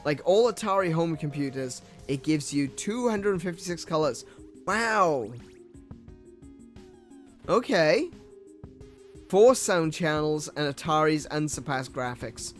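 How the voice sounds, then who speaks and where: A man's voice: on the high side, expressive, slow, pauses often, faint music behind. A young man and gaming streamer reading old computer ads aloud with his own commentary, tripping over words and laughing at himself.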